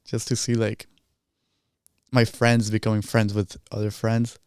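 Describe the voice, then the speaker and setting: Casting: young man, podcast host, lowish clear voice, chatty and informal. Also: monotone, measured pace, darkish tone, no echo, clean studio sound. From Colombia, partly grew up in the United States. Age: young adult. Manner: informal, slangy. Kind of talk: casual podcast chat. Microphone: close boom-arm studio microphone.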